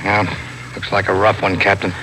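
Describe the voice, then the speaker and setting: An actor playing a gruff, skeptical police officer in a 1950s radio drama, curt and world-weary. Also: male voice, low-pitched, flat delivery, normal speed. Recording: hissy, clicking 1950s radio broadcast recording.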